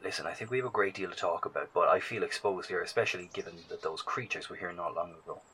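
An actor playing an earnest, shaken male survivor in an audio drama, speaking in halting, formal, emphatic phrases. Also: low-pitched, bright, forceful tone, a little hiss or hum, poor audio. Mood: apologetic, uneasy.

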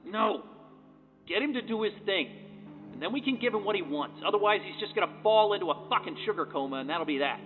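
An actor in an audio drama playing a bossy, exasperated assistant, insistent and impatient, with a nagging voice. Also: male voice, high-pitched, lively intonation, fairly quick, phone-quality sound. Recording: reverberant hall, music behind.

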